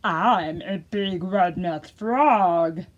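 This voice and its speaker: A woman voicing a brash, boastful big-mouthed frog puppet for a children's storytime, loud and drawn out. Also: fairly low pitch, muffled recording. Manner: loud, drawn-out, shouting questions.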